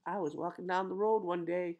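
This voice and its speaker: A woman's voice: fairly low pitch, steady tone, a little fast, darkish tone, dull audio. A woman in her sixties reading a children's picture book aloud in a playful, dramatic, sing-song way, recorded at home on a webcam microphone. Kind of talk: animated children's storybook read-aloud. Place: indoor room at home.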